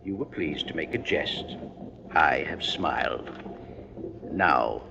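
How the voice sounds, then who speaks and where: Formal, menacing gunman, swooping pitch. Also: lively intonation, takes it slowly, creaky, exaggerated voice. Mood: cold menace. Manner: formal, precise, coldly courteous. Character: tired, polite, menacing male gunman. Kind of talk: 1950s radio thriller acting. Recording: muffled, noisy 1950s radio recording.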